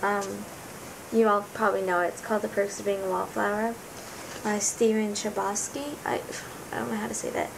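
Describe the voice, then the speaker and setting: A teenage girl talks through a heartfelt book review with a raspy, gravelly voice. She rambles earnestly and keeps reaching for the right words. A steady rumbling noise sits clearly under the recording. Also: normal speed. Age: teens. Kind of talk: casual book review vlog. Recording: noticeable rumbling background noise.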